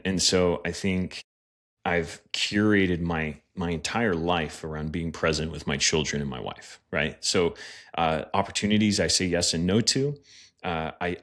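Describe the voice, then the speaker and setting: An earnest male pastor talks on a podcast in a very low voice. He is reflective and sincere, and he keeps checking in with "right?". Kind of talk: casual podcast conversation.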